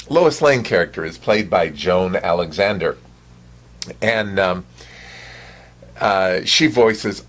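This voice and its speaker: A man hosting a show, informally presenting to viewers in a halting way, in short broken phrases. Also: lowish voice, fairly bright tone. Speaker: show host.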